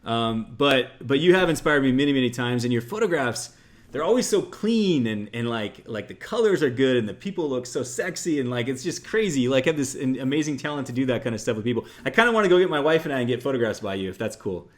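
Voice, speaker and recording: Fast talking host guy, super gushy, keeps tripping over his words. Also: mid-range voice, animated. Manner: effusive, stammering restarts, lots of "like". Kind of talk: enthusiastic interview chat.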